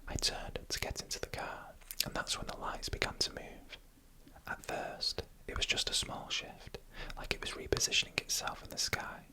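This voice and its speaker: This is an ASMR narrator telling a scripted story entirely in a whisper. The voice is breathy and bright, with sharp, airy consonants. Phrases come out slowly and deliberately, with small pauses between them.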